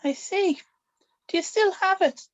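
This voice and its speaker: Older female role for an actress: secretive grandmother, soft but firm, high voice, dull lo-fi recording. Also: a little slow. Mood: measured, reflective. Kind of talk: scripted table-read acting.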